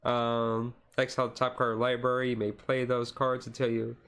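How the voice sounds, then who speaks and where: A man streamer giving a casual, chill card-deck walkthrough, halting and self-correcting, his voice a little tired. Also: medium pitch, little pitch movement, a bit bright. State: voice tiring. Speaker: streamer, card game hobbyist.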